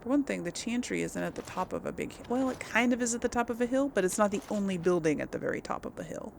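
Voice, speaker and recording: A woman casually narrates her video game session with lively intonation and a creaky edge. She rambles and thinks aloud, with faint game ambience behind her. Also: mid-range voice, fast, a little hiss or hum. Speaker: gaming video host and player. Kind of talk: casual gameplay commentary.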